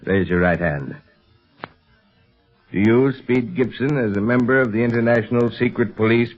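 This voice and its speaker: A man acts out an authoritative American police chief from a 1930s radio serial. He speaks formally and earnestly at a middle pitch, weighing his phrases. The sound is dull and band-limited, like an old broadcast. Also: natural voice. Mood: solemn. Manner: formal, deliberate, weighty delivery.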